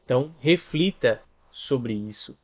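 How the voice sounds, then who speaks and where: A man's voice: mid-range voice, animated, measured pace. A man from Brazil teaches an online language lesson in a mid-range voice. He explains patiently and keeps checking in with the listener. The audio sounds a little dull. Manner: explanatory, with frequent "né?" and "tá bom?" check-ins.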